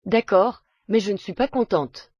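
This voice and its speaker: A woman's voice: medium pitch, a little slow, slightly rough, dry recording, muffled recording. A woman acting as a polite everyday character in a scripted language-lesson dialogue. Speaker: dialogue actor.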